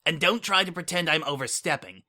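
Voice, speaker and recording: Brief: a man doing a cocky mare secret agent for a storybook reading, raised bright voice, breezy and mocking. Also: a little high, a little fast, pitched above the performer's own voice. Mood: smug. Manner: flippant, casual and mocking. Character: brash, irreverent mare spy, smug and flippant. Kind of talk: fan dramatic audiobook reading.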